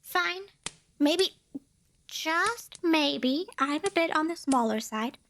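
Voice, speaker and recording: A woman's voice: high voice, animated, slow, fairly bright tone, a little hiss or hum, exaggerated voice. A woman playing a tiny, proud and easily flustered female demon in an ASMR roleplay, boastful and indignant, often hedging and correcting herself. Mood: sheepish, reluctant. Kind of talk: ASMR roleplay addressed to the listener.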